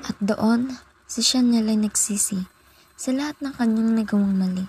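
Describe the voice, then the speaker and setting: A woman's voice: fairly high pitch, normal speed, darkish tone, husky, poor audio. A young woman giving scripted narration as the storyteller of a student radio drama. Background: background music.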